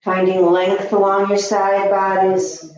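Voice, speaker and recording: A woman teaching yoga, guiding breath and posture gently and soothingly with long pauses. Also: medium pitch, level tone. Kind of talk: guided yoga and meditation instruction. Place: echoey indoor room. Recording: echoey room, slightly dull sound.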